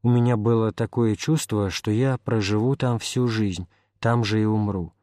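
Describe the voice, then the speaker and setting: A man reading a spiritual memoir aloud in the first person, calm and measured, like an audiobook narrator. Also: fairly low pitch, no echo, studio quality. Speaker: reader.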